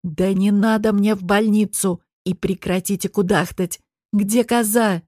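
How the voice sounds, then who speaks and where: A woman's voice: medium pitch, steady tone, a little slow, mellow, no echo, lightly acted. A demanding, irritable man with a brusque, bossy manner, acted out by a woman narrator. It is dialogue from an audiobook, with a very dry sound. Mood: irritated, demanding. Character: irritable, bossy man coming round after knockout.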